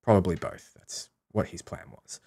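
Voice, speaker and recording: A man's voice: sits low, sing-song, normal speed, gravelly, warm tone. A man giving casual, explanatory commentary on a game, talking through his strategy as a streamer.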